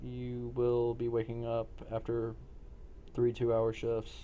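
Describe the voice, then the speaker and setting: A man's voice: mid-range voice, completely flat delivery, takes it slowly, mellow, dull audio, slight background noise. A man running a role-playing game, narrating scenes off the cuff in a matter-of-fact way. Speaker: game master.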